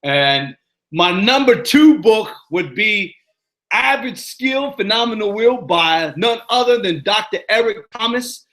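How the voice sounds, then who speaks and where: A man's voice: sits high, animated, measured pace, bright, forceful tone. A man with a New York accent hosting a live motivational Q and A, talking emphatically and repeating himself. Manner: emphatic, repetitive, preachy, keeps asking "you know what I'm saying". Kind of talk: live-stream Q and A monologue. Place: living in Arizona.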